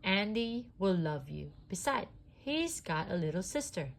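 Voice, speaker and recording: A woman's voice: medium pitch, sing-song, unhurried, gravelly. A woman voicing a brave, urgent male toy cowboy from a storybook, calling out emphatically. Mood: reassuring, rallying.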